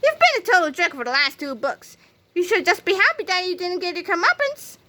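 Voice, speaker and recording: A woman's voice: high voice, very animated, normal speed, bright, forceful tone, voice pushed up, heavily acted. A woman voicing a sly, cheeky schoolboy prankster in a children's storybook, with a teasing manner. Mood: scolding.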